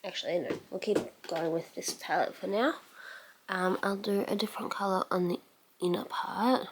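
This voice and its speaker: A woman's voice: mid-range voice, fairly even intonation, normal speed, slight background noise. A woman giving a casual makeup tutorial as a beauty vlogger, musing aloud as she works and letting sentences trail off.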